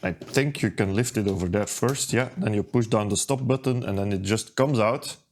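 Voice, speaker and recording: A man talking through a hands-on repair at his workbench, unhurried and thinking aloud with plenty of ums and uhs. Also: lowish voice. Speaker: repair video host. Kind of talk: casual how-to narration.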